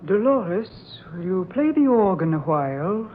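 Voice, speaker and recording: An actress playing a cold, controlling aunt in an old-time radio drama, measured, grudging and ominously polite. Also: female voice, medium pitch, sing-song. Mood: cold, demanding. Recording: tinny, noisy old radio recording.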